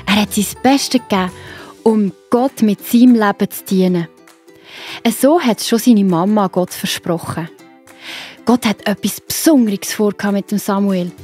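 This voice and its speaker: A woman with a Swiss accent narrating a story for children in a simple, expressive way. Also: medium pitch, normal speed, husky, faint music behind.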